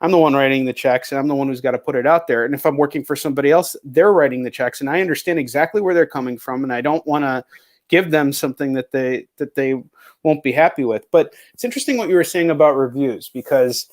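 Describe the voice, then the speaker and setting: A man's voice: mid-range voice, fast. A man chatting casually as an interview host, speaking bluntly and swearing often. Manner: blunt, frequently profane. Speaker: host, comic book writer and publisher.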